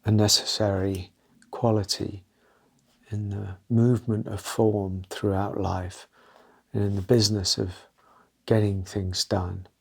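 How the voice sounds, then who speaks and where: A man leading a guided meditation, talking gently and unscripted in short, halting phrases with pauses between them. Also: fairly low pitch, level tone, gravelly, slightly rough.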